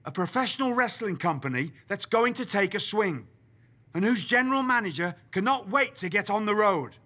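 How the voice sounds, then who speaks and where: An actor playing a male wrestling general manager who speaks in a dry, deliberate, formal way. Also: high-pitched, lively intonation, pushed and bright, full character voice. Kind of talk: video game cutscene acting.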